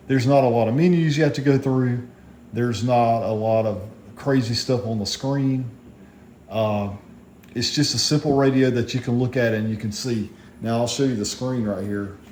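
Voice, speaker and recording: A man with a soft, mellow voice gives a casual gear review in a plainspoken, rambling way. He sounds like a hobbyist explaining his equipment. The room is clearly echoey, with faint noise behind him. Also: medium pitch. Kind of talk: casual product review vlog.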